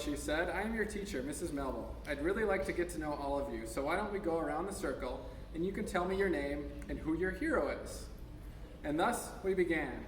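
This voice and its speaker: An American man in his thirties reading an essay aloud to a gathering in an informal way, now and then stumbling over his words. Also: on the high side. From United States, Minnesota. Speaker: speaker at a memorial service. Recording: echoey hall from far off, noisy.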